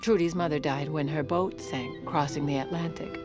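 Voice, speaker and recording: An actress plays a self-assured woman in her forties, a First Lady with a lowish voice and a dry, haughty wit. She narrates an audio drama in a deadpan way, over faint music. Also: normal speed, lightly acted. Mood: dry, aloof. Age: 40s.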